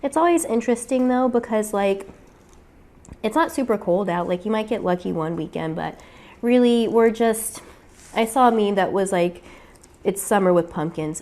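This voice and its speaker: A woman's voice: mid-range voice, darkish tone. A woman with a weak voice from getting over a cold, chatting casually through a step-by-step crafting tutorial with frequent fillers.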